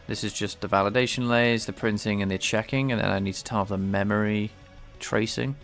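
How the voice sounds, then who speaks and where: A man with a low, gravelly voice talks through his code in a casual, halting way, often restarting his sentences. He sounds slightly stuffed-up and nasal, as if he has a light cold. Soft music plays faintly behind him. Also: fairly even intonation. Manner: thinks aloud, hesitant, many restarts. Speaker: game developer streaming his work. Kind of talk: casual live-coding commentary.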